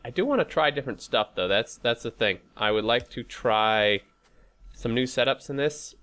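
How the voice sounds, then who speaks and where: A man's voice: mid-range voice, lively intonation, moderate pace, fairly bright tone. A man with a stuffy, head-cold voice giving casual gameplay commentary, thinking aloud in a hesitant, rambling way. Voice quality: stuffy, congested nose. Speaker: host of a gaming playthrough series.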